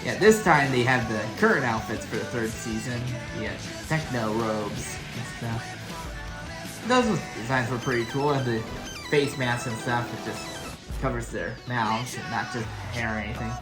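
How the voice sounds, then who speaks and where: A man gives casual reaction commentary in a mid-pitched voice, rambling and often halting as he goes. The room is echoey, and music plays clearly behind him. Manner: hesitant, halting, informal delivery. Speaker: reaction video host. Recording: roomy echo, loud show music behind.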